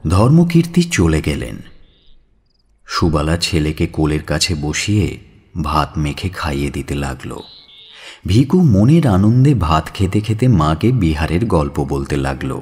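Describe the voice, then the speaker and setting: Deep-voiced, expressive male story narrator. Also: measured pace, slightly rough. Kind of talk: dramatic scripted story narration.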